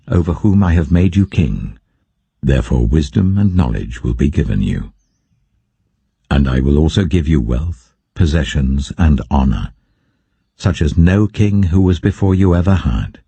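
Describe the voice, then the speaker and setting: A majestic, benevolent male deity voiced by a British man narrating scripture. The voice is very deep, mellow and husky. He speaks with grave, unhurried authority. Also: no room sound, heavily acted.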